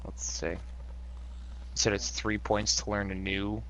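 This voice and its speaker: A man's voice: lowish voice, monotone, unhurried, fairly bright tone, a little hiss or hum, poor audio. A man playing a tabletop game, casually asking questions about the rules and cursing now and then. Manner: casual, asks lots of questions, swears. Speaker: player.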